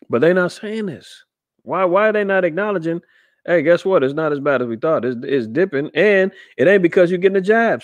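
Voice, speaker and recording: A man's voice: on the high side, no room sound. Rambling skeptical man, dry close sound. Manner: rambling, skeptical, many fillers and restarts. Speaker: podcast host commenting on news. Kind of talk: casual commentary reading an article.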